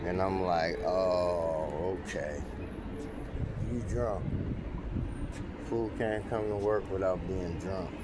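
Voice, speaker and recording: A man about to turn fifty, telling a work story in casual, animated street slang, repeating himself as he goes. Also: low-pitched, slow, long pauses, very noisy. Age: 40s. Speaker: airport wheelchair attendant.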